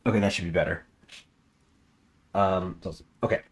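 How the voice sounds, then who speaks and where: A man casually commenting on his strategy game as he plays, thinking out loud and reading the in-game text aloud. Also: low voice, fairly even intonation, normal speed. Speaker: gameplay commentator. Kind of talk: casual let's-play commentary. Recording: faint music underneath. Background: faint game music.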